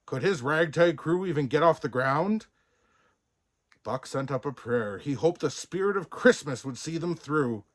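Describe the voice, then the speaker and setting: A man reads a children's storybook with playful theatricality. His pitch swings in a lively way, and he takes his time, savouring the sound words. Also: a little slow. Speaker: storybook reader in a Santa persona. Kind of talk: expressive scripted children's story narration.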